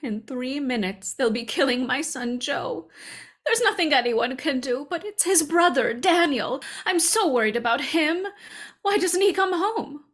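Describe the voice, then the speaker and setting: Woman acting high-voiced despairing mother, old-time radio. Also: animated, a little slow. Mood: anguished. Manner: bitter, anguished, fatalistic. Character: despairing, weary mother of two doomed sons. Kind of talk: 1930s radio drama acting.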